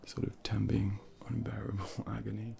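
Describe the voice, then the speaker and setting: A man role-playing a junior doctor in a soft-spoken ASMR exam, half whispering in a gentle, hesitant, reassuring bedside manner on a close binaural microphone. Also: lowish voice, completely flat delivery, normal speed, creaky. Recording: close binaural ASMR, faint background noise.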